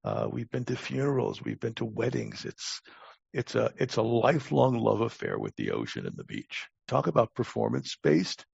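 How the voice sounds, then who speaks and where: A man in his sixties with a gravelly voice, telling stories casually as a podcast guest in a halting, wry way with repeated words. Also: medium pitch, sing-song, darkish tone, dry recording. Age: 60s. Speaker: podcast guest, longtime lifeguard. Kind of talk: casual interview storytelling. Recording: dull, lo-fi close recording.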